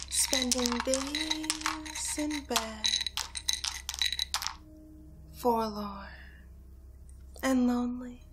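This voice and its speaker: A young woman playing a sly, vengeful witch in an ASMR roleplay, often whispering, breathy, deliberate, soothing yet menacing. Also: fairly high pitch, heavy background noise. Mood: scheming. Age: young adult. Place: small bedroom, indoors.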